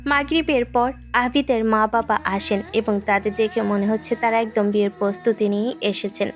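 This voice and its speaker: Woman narrating romance, slightly high voice. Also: fairly even intonation, normal speed. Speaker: story narrator. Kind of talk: scripted narration of a romantic story. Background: background music.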